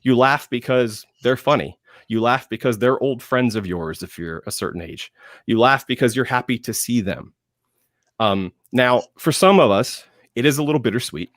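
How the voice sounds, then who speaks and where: A man speaking as a panelist in enthusiastic film talk, emphatic, with dramatic pauses and phrases repeated for effect. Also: mid-range voice, lively intonation, no room sound, clean studio sound.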